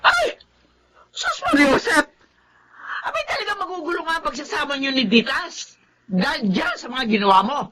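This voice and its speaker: Radio drama mother, older, easily shocked, fretful, very animated delivery. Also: high voice, normal speed, pauses often, a crowd in the background. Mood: alarmed, dismayed. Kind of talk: Tagalog radio drama acting.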